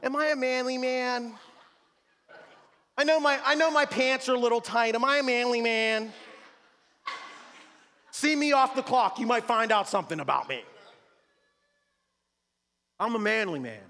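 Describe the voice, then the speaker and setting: A man's voice: unhurried, pauses often. Man preaching in a reverberant hall. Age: adult with a wife and kids. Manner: emphatic preaching, swings from shouting to low and quiet. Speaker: pastor. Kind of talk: sermon. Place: church sanctuary. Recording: long reverb of a large hall.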